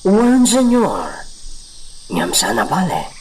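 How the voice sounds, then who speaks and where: Slow, animated humble servant. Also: male voice, sits high, slight background noise, exaggerated voice, low-quality recording. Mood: hesitant, reporting. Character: Baldo, humble male hacienda servant, loyal but grumbling. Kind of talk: Ilocano radio drama acting.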